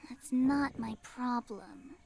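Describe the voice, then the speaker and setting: A woman voicing a gentle, cautious young girl, a reluctant older sister in a visual novel game, speaking hesitantly. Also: fairly high pitch, takes it slowly, creaky. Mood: sleepy, puzzled. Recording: music playing behind.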